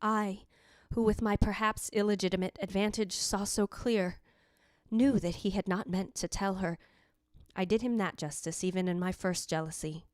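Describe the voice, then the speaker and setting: A woman's voice: mid-range voice, fairly even intonation, normal speed, darkish tone, no echo, studio quality, lightly acted. A woman playing the jealous ghost of a dead wife, narrating in a theatrical, literary, anguished way in old-time radio style. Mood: bitter, stunned.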